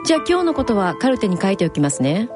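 A woman playing a brisk, polite and tactless hospital counselor in an amateur radio drama. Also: medium pitch, very animated, close to an everyday voice. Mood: polite, businesslike. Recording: clear music behind the voice.

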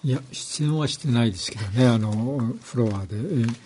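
Understated man, medium pitch, noisy background. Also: brisk, mellow. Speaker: medical professor, programme commentator. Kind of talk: casual interview answers.

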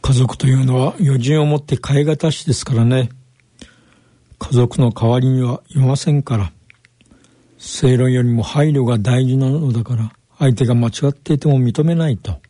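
A man's voice: medium pitch, mellow, slightly rough, dry recording, studio quality, lightly acted. A man playing a kindly doctor counselling a couple in a radio drama, informal and advisory. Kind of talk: amateur radio drama acting.

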